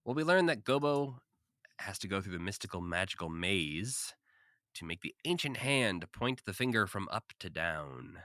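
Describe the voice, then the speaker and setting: A man's voice: low-pitched, expressive, normal speed, bright, forceful tone, dry recording, clean studio sound. A man co-hosting a podcast, casually recapping and commenting with a wry, joking manner. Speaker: podcast co-host.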